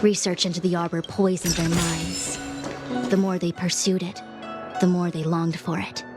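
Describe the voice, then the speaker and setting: An actress playing a composed, authoritative female diviner commander in a game, speaking in a formal, measured, solemn way. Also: on the low side, flat delivery, lightly acted. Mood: solemn, commanding. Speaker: game character voice actor. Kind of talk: scripted game story dialogue. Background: music.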